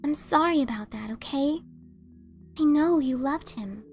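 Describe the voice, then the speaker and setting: A woman's voice: high voice, a little slow, soft background music. A woman voicing an earnest, slightly sheepish young pegasus mailmare in a dramatic fan fiction reading. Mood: apologetic.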